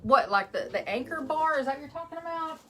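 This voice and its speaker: A woman's voice: high-pitched, expressive, fast talker, sharp tone, a little hiss or hum. A woman with a West Texas accent hosting a live crafting stream from home, chatting casually and greeting viewers by name as she works. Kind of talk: casual chat while crafting.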